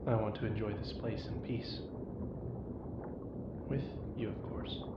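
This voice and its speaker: An actor playing an enthusiastic, easygoing deep-sea submersible captain in an analog horror drama. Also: male voice, steady tone, unhurried. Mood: eager, confiding. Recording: muffled, distant, echoey, very noisy rumble.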